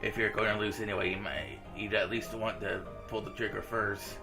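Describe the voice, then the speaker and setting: A man reads a visual novel aloud for a gaming video in a low, gravelly voice. His delivery stays fairly flat and informal, with game music playing behind him. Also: moderate pace. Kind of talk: reading visual novel dialogue aloud with casual reactions.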